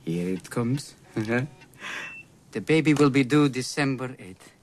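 An actor playing a nervous, easily flustered Russian obstetrician with a Russian accent, speaking broken, muddled English in a comedy film. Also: male voice, medium pitch, animated, unhurried, slight background noise, natural voice. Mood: awkward, eager. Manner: broken English with muddled words and misplaced formality.

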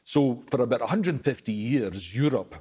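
A man's voice: mid-range voice, expressive, normal speed, dark tone, breathy edge. A man with an Ayrshire Scots accent, a writer and broadcaster, speaking passionately and emphatically as an interview guest. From Scotland. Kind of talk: interview, explaining history.

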